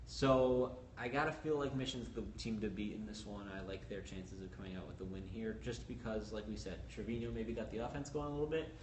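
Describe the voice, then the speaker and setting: A man co-hosting a casual sports podcast, explaining stats and game outlooks in a brisk, analytical way. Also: lowish voice. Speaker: sports reporter co-host. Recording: roomy echo with faint ambient noise.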